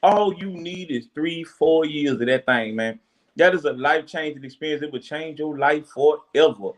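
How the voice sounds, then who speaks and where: A man's voice: a little high, moderate pace. A man on a casual podcast, earnestly pressing advice on parents and repeating "you know what I'm saying.". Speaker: podcast co-host.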